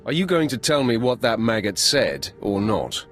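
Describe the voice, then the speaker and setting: An actor playing a ruthless, proud Sith apprentice in a video game, curt and threatening in delivery. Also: male voice, medium pitch, very animated, normal speed, a bit bright, faint music behind. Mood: defiant. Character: ruthless young male Sith warrior apprentice, proud and wrathful. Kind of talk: cinematic game voice acting.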